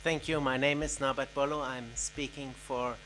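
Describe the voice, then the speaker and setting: A man from an NGO, asking a formal question from the floor at a conference in a measured, deliberate way. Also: medium pitch, little pitch movement, fairly bright tone, a little hiss or hum. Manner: measured, deliberate, with occasional uhs. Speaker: NGO representative, audience member. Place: conference hall.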